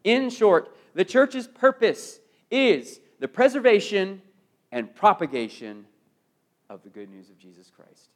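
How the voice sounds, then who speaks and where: A middle-aged American man delivers a sermon in a notably high-pitched voice. He is emphatic, leaning on repetition and rhetorical phrasing, and shifts between forceful proclamation and quieter, hushed phrases. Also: very animated, measured pace. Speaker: preacher.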